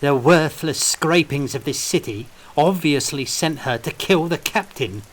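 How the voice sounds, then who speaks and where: A man's voice: on the high side, a little slow, slight background noise, pitched above the performer's own voice, close to an everyday voice, poor audio. A man voicing a malicious, growling soldier thug in an audiobook story. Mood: malicious, sneering.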